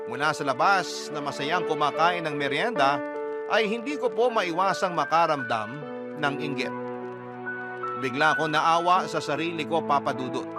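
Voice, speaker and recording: A man's voice: fairly high pitch, normal speed, loud music behind the voice, lightly acted. An actor narrating as the wistful, self-pitying child of a poor mother in a confessional radio drama. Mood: envious, wistful.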